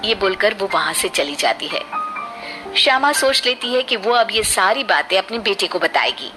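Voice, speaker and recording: A woman's voice: mid-range voice, lively intonation, moderate pace, over music, rough recording. A woman telling a scripted cartoon story as its narrator.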